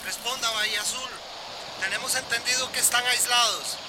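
A man's voice: very high-pitched, steady tone, fairly quick. A man playing an urgent emergency radio operator in a radio drama, calling in clipped phrases over a two-way radio. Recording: noisy shortwave radio transmission.

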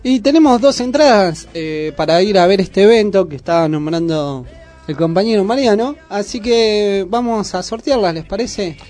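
An Argentine man from the Buenos Aires area co-hosts a community radio show in a fairly high voice. He gives announcements in a casual, conversational way. Soft music plays behind him. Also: animated, moderate pace. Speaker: radio co-host.

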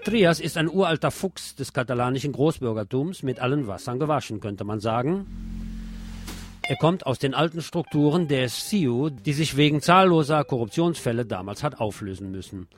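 A man's voice: mid-range voice, expressive, moderate pace, over music. A man presenting scripted radio news commentary, with opinionated, wry asides.

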